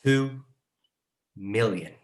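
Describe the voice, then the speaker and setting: A man playing an excited, pushy property agent in his thirties in a screenplay table read, speaking eagerly and briskly. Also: lowish voice. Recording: roomy, echoing sound.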